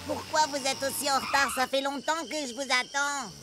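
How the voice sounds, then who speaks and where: A woman's voice: sits high, steady tone, moderate pace, heavy background noise, close to an everyday voice. A woman playing a plucky, impatient little boy adventurer in a French children's cartoon. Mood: impatient, urging.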